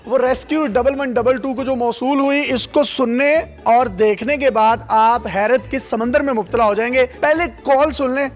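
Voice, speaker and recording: Very high-pitched Pakistani male reporter. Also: fairly even intonation, hardly any pauses. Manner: playful, teasing. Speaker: reporter hosting a segment. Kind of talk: presenting to camera, interviewing. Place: emergency call-centre control room. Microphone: handheld reporter microphone. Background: background music.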